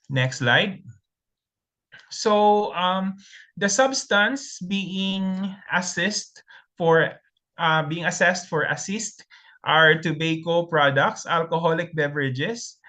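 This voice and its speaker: Bright-voiced Filipino man presenting slides. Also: on the high side. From Philippines. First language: Tagalog. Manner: methodical, steady listing with frequent ums and restarts. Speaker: webinar presenter.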